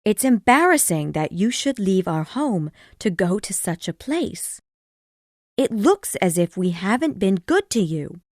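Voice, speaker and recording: A man reading a story aloud voices a firm young woman scolding her brother and her mother, sharp and insistent. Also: high-pitched, sing-song, unhurried, no echo, clean studio sound, pitched above the performer's own voice, exaggerated voice. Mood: reproachful.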